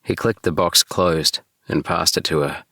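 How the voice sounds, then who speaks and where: Deep-voiced man reading calmly. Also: measured pace, vocal fry, no echo, clean studio sound. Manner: calm, steady. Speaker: narrator. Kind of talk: audiobook reading.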